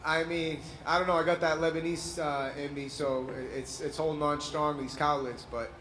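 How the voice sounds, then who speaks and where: A man's voice: a little high, steady tone, normal speed. A man from New England, a fighter and promoter, answering questions at a press scrum in a casual way, swearing freely and saying "man" a lot. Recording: echoey room with loud crowd noise.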